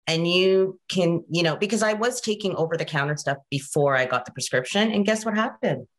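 A woman in her forties from Canada speaks on a video call from a room at home. Her pitch rises and falls a lot as she tells her story in a loose, chatty way. She keeps checking in with the listener as she goes. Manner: animated, chatty, frequent "right?" and "you know". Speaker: guest presenter. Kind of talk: casual video-call interview.

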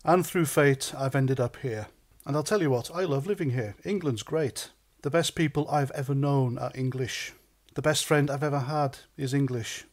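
A man's voice: medium pitch, normal speed. A middle-aged man from North Wales with a North Welsh accent, a native Welsh speaker, giving a blunt, indignant, sweary opinion rant as a vlogger. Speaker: vlogger, ex-soldier.

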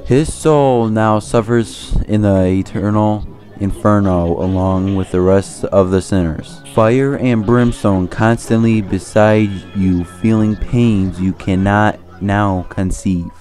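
Male narrator, lowish pitch, solemn, formal, preachy, scripted 1930s propaganda style, music underneath. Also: steady tone, takes it slowly, a little mellow.

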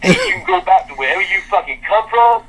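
A man's voice: sits high, wildly swooping pitch, fast talker, fairly bright tone, breathy edge. An older American man ranting combatively and profanely on a phone call, heard over a noisy speakerphone. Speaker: prank call target. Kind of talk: angry phone rant. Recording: noisy tinny speakerphone playback.

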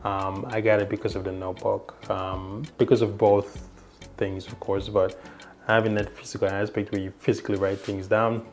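Young man, low voice, non-native English speaker, casual tech demo, rambling with fillers, small indoor room. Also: flat delivery, moderate pace, faint music behind. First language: not English. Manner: rambling, many fillers and restarts. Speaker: tech reviewer.

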